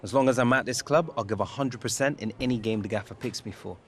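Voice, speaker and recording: A man's voice: medium pitch, quick pace, a little hiss or hum, lightly acted. A young man playing a composed but defiant professional footballer in a video game cutscene. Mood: guarded, composed. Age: young adult. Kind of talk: naturalistic game cutscene acting.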